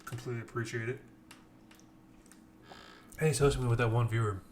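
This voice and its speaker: A man's voice: mid-range voice, steady tone, brisk. Chatty self-deprecating male game caster. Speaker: esports tournament caster. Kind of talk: casual late-night live stream chat. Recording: keyboard typing noise behind voice. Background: clear keyboard typing.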